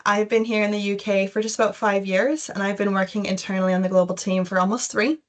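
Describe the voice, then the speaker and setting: A woman's voice: medium pitch, flat delivery, quick pace. Canadian woman hosting an informal webinar. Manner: chatty, informal, loosely phrased. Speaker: webinar host, education recruitment consultant.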